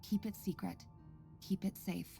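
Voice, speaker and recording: A woman playing an earnest, composed researcher in a video game, speaking in a measured, articulate and quietly caring way. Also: mid-range voice, steady tone, gravelly, soft background music. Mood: gentle, guarded. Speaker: voice actor in a game story quest. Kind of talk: scripted game dialogue and log narration.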